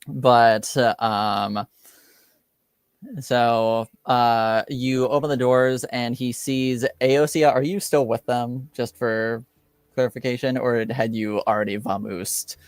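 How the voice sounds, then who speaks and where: A man improvising narration as game master of a tabletop roleplaying game, speaking in a halting, deliberate way. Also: mid-range voice, steady tone, clear, resonant tone, no room sound, clean studio sound.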